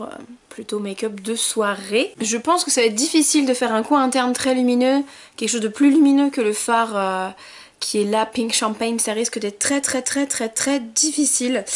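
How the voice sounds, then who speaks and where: Woman, beauty vlogger, bubbly tutorial chat, fairly high voice, soft trailing asides. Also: normal speed.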